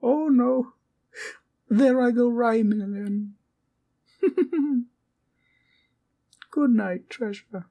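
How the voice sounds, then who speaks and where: Woman doing a tiny kind teddy bear for comfort audio, super mellow n slow, sweet polite bedtime guardian talk. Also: a little high, exaggerated voice. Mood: sleepy, affectionate. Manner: sweet, polite, old-fashioned wording with soft giggles. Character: small, kindly awakened teddy bear guardian, childlike and courtly.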